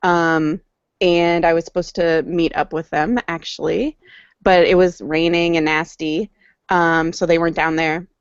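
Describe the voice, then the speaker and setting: A woman talks through her weekend in a casual podcast chat, pausing often with ums and restarting her sentences. Her voice sits low and the sound is dry and close. The audio is dull and lo-fi. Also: flat delivery, clear, resonant tone, no room sound. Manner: rambling, many ums and restarts. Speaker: podcast co-host.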